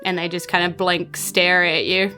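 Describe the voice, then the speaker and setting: Female game master, New Zealand accent, bright forceful voice, casual improvised narration. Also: fairly low pitch, flat delivery, normal speed, soft background music.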